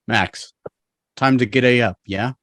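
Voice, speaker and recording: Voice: mid-range voice, a little slow, a bit bright, no room sound, clean studio sound. A performer in an online table read playing an impatient, protective young man, urgent and brusque, over a video call. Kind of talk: fan table read acting.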